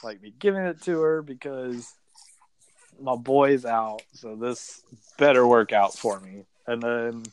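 A man's voice: mid-range voice, measured pace, clear, resonant tone, dry recording, poor audio. Chatty young man telling a story. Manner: chatty, peppered with "like", acts out quotes. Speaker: podcast guest, reality game contestant. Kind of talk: casual storytelling.

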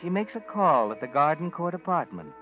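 A man's voice: on the high side, big pitch swings, fairly quick. An actor playing a sinister, all-knowing male narrator in a 1940s radio drama, speaking in an insinuating, knowing tone over music, on a tinny old recording.

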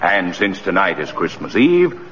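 An actor playing an insistent, exasperated prosecutor with a pompous, formal manner in a 1940s radio drama. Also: male voice, low-pitched, big pitch swings, measured pace, a bit bright, a little hiss or hum, exaggerated voice. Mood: formal, demanding. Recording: dull old radio recording, hall reverb.

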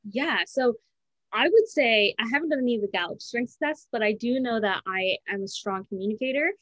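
A woman's voice: on the high side, lively intonation, fairly bright tone, dry recording, rough recording. A woman being interviewed, answering in an earnest, conversational way, often restarting and correcting herself. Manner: earnest, fast, with frequent restarts and self-corrections. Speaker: interviewee, student advisor.

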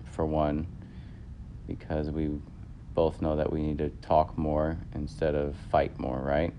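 Man in his 30s, very low voice, rambling casual chat, lots of fillers. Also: flat delivery, unhurried, muffled recording, slight background noise. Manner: rambling, self-correcting, many fillers. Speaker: podcast co-host.

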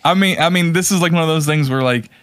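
A man co-hosting a casual podcast chat about movies, speaking in a halting, rambling way and often correcting himself. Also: on the high side, a bit bright, no room sound. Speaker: podcast co-host.

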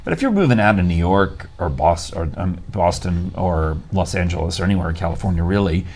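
A man's voice: sits low, expressive, a little fast, darkish tone. A man hosting a morning talk show, joking and laughing often as he rambles through asides. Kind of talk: casual studio banter.

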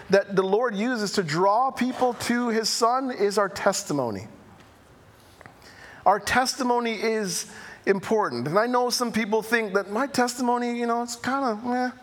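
A man preaching a sermon, reading scripture aloud and explaining it with animated emphasis and playful asides. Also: high voice. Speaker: pastor. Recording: reverberant hall.

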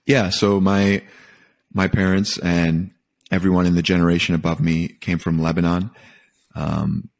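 A man from Michigan with a very deep voice speaks as an interview guest. He talks casually and thoughtfully, often stopping to rephrase a point as he works through it. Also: flat delivery, creaky. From Michigan, United States. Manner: thoughtful, halting, rephrases as he goes. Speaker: podcast guest. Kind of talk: casual reflective interview talk.

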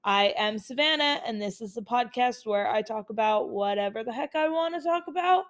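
Young woman, slightly high voice, chatty rambling podcast host, voice a bit worn from colds, built-in laptop mic. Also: expressive, moderate pace. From Utah. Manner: chatty, rambling, upbeat with frequent fillers. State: voice still recovering from colds. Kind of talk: casual solo life-update podcast.

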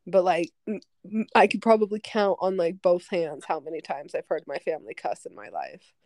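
Woman in her twenties, podcast guest, slightly low voice, calm, keeps saying "like", dry close sound. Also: normal speed, no room sound. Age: 20s. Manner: calm, fills speech with "like". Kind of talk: casual chat.

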